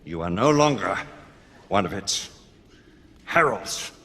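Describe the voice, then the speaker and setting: A man's voice: deep voice, expressive, slow, fairly bright tone, exaggerated voice. An actor playing a grave, tormented aging Lord Chancellor and father in a video game drama, speaking solemnly and formally. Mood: grave, commanding. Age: older. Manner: solemn, formal, weighty delivery. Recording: long hall reverb, clear background noise.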